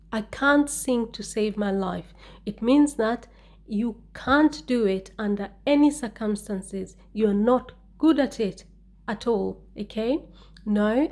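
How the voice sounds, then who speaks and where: A woman teaching an English speaking-exam lesson, slowly repeating model answers and explaining them step by step. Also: a little high, a little mellow.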